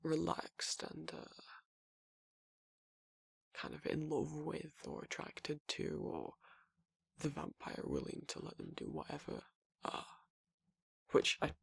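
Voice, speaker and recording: A man voicing a gruff, laid-back werewolf boyfriend in an intimate ASMR roleplay, with a husky gravelly voice and a halting, rambling, casual delivery. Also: on the high side, big pitch swings, dry recording, full character voice. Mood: musing, rambling.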